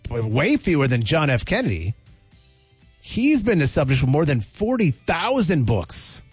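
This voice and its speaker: A man hosts a morning radio show with a husky voice that swings up and down in a lively way. His delivery is casual and teasing. The studio sound is dry, with faint music underneath. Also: fairly high pitch, a little slow, no room sound. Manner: teasing, playful. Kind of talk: casual on-air banter.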